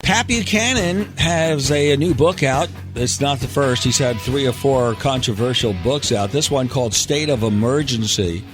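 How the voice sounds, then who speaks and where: A man's voice: mid-range voice, moderate pace, few pauses. Male talk radio host over music. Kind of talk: conversational talk radio interviewing.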